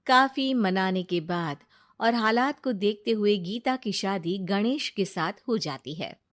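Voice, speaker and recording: A woman's voice: mid-range voice, animated, unhurried, a bit bright. A woman reading scripted storybook narration for a family tale.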